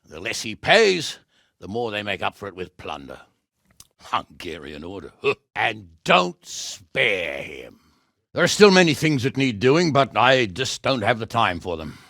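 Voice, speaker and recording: An actor playing a gruff, sardonic middle-aged medieval lord and commander in a video game, blunt and coarse, with a gravelly voice. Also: male voice, lowish voice, sing-song, a little slow, fairly bright tone, dry recording, studio quality, full character voice. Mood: grave, world-weary. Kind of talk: dramatic video game voice acting.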